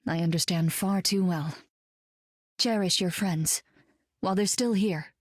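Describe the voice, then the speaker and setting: An actress playing a gentle, earnest psychic heroine in a game. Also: female voice, lowish voice, a little slow, no room sound, studio quality. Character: warm psychic heroine woman.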